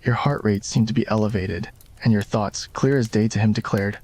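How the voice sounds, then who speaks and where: A man with a fairly low voice narrates a romantic story in ASMR style. The delivery is scripted storytelling, read as a roleplay. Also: fairly even intonation, normal speed, a little mellow, breathy edge.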